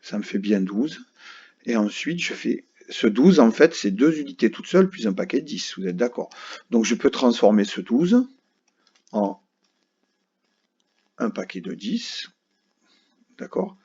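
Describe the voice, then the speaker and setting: A man's voice: medium pitch, very animated, unhurried, long pauses, darkish tone, breathy edge. A male teacher patiently explaining a math lesson to children step by step, often checking that they follow. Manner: patient, explains step by step, keeps asking "d'accord?". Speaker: primary school teacher. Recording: dull lo-fi recording.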